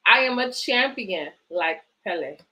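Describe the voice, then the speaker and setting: A woman's voice: mid-range voice, lively intonation, measured pace, sharp tone. A woman hosting a children's storytime, reading picture books aloud and chatting encouragingly to families. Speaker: host of a children's bedtime story series, book organisation director.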